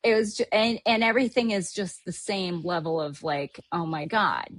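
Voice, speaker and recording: A woman's voice: on the low side, fairly even intonation, normal speed, no echo. A middle-aged woman from Hawaii, chatting casually as a podcast host, rambling with lots of fillers and restarts. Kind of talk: casual chat recapping a trip.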